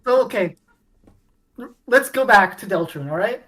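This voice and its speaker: A woman co-hosting a podcast, chatting casually about fandom in an animated storytelling way with mock-dramatic emphasis. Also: medium pitch, normal speed. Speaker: podcast co-host.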